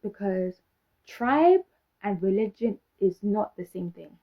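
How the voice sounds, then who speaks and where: A young woman with a British accent talks straight to her viewers, sassy and sarcastic, throwing out one rhetorical question after another. Her voice has a husky, breathy edge. The recording sounds a little dull and muffled. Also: mid-range voice, lively intonation, takes it slowly. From Britain. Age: young adult. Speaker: vlogger. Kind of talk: casual talk to camera.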